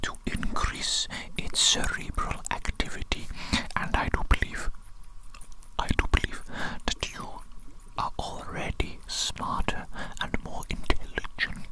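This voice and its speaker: A performer whispering an ASMR roleplay as a doting, eerily excited evil doctor, speaking softly and deliberately and repeating phrases soothingly. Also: poor audio. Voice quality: breathy unvoiced whisper.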